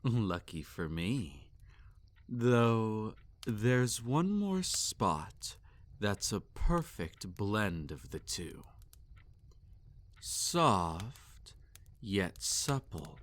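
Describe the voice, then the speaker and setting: Man playing a slow, possessive, sadistic male vampire. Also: on the low side, sing-song, exaggerated voice. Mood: savoring, coaxing. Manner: theatrical, savoring, teasing and condescending. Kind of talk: intimate roleplay audio acting.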